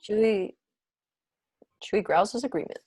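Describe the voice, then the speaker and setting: A woman's voice: medium pitch, moderate pace, mellow, breathy edge, no room sound. A woman reading screenplay stage directions as scripted narration in an online table read. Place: indoors on a video call.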